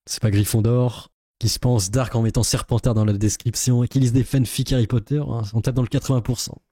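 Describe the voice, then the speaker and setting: A man's voice: medium pitch, flat delivery, brisk, mellow, no room sound, clean studio sound. A man giving casual reaction commentary as a YouTuber, in a sarcastic, sceptical and rambling way.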